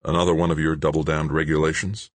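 A man voicing a gruff, blunt male company boss who grumbles. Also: very deep voice, flat delivery, fast talker, no room sound.

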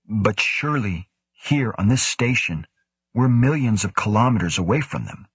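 A man voicing an earnest young male scientist who argues quickly and defensively. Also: fairly low pitch, animated, fairly bright tone, husky, no echo, full character voice.

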